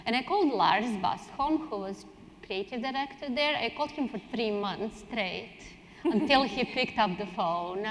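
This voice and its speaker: A woman's voice: fairly high pitch, a little hiss or hum. A woman from Serbia speaking casually and emphatically as a panelist, often restarting her thoughts. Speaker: panelist, strategy director. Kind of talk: casual spontaneous panel talk. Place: indoor conference hall on stage. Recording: echoey hall, long reverb.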